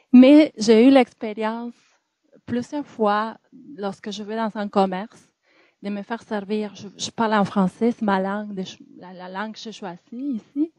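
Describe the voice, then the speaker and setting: A woman's voice: medium pitch, fairly even intonation, a little slow, mellow, no echo. A woman with a Spanish accent, a native Spanish speaker, making an earnest, careful comment from the floor. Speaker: audience member.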